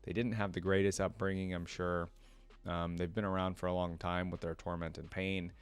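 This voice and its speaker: A man's voice: low-pitched, flat delivery, moderate pace, smooth. A man hosting a reaction video, reading aloud and commenting in an informal, fan-like way.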